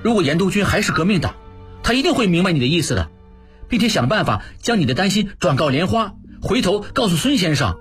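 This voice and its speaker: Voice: medium pitch, sing-song, fast talker, soft background music, close to an everyday voice. A narrator playing a level-headed man who reasons emphatically with a companion in an audiobook. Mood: practical.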